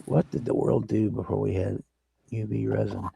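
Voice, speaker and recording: A man's voice: lowish voice, normal speed, gravelly, background noise. A man hosting a model-building livestream, chatting casually and explaining his work as he builds. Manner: relaxed, rambling explanations while working. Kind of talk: casual workbench chat.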